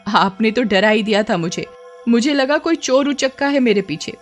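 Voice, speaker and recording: Woman playing a nervous, high-voiced young wife. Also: expressive, moderate pace, faint music behind, close to an everyday voice. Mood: relieved. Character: nervous young married woman, self-scolding, kind-hearted.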